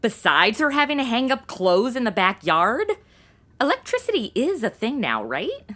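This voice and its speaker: A woman's voice: a little high, wildly swooping pitch, fairly bright tone, exaggerated voice. An actress playing a flippant young American woman in her twenties with slangy valley-girl drawl, in a comedy audio drama. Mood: incredulous, sarcastic. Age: 20s. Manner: slangy valley-girl talk with drawn-out words. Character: flippant modern twenty-something woman, sassy amateur detective.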